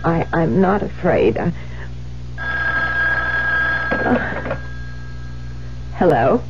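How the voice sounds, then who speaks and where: An actress playing an imperious, irritable middle-aged widowed novelist in a theatrical, impatient 1970s radio drama style. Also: female voice, on the low side, animated, drawn-out words, vocal fry, heavily acted. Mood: frightened, defiant. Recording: muffled, noisy 1970s radio broadcast with hum.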